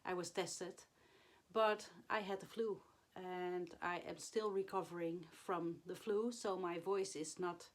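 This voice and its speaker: A woman, hoarse and still getting over the flu, chatting to camera about her stitching projects, halting often with fillers. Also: fairly low pitch, fairly even intonation. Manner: halting, many fillers and pauses. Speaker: flosstube vlogger. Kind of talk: casual chat to camera.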